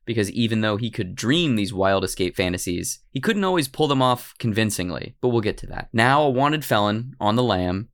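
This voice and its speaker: A man's voice: fairly low pitch, fairly bright tone. A man hosting a casual podcast, telling a story in a wry, rambling, joking way. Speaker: podcast host.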